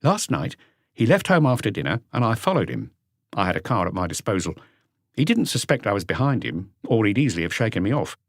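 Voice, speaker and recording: A man's voice: fairly low pitch, very animated, fairly quick, dry recording, clean studio sound. A man voicing an eager, dutiful police inspector who reports to his chief in earnest detail, as an audiobook character.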